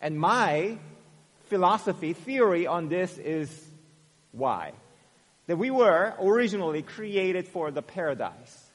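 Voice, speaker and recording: A man in his forties from South Korea with a Korean accent, preaching a sermon as pastor, earnest, stressing words and then softening at the ends of phrases. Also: sits high, very animated, slow. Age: 40s. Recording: long hall reverb.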